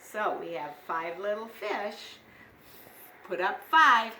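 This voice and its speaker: A woman teaching young children over a video call, talking in a slow, patient, sing-song way. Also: medium pitch, animated, bright, forceful tone. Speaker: preschool teacher.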